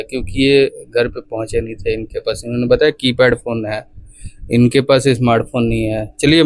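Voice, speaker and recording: A man's voice: medium pitch, dry recording. A man presenting explanatory commentary to viewers, repeating points for emphasis.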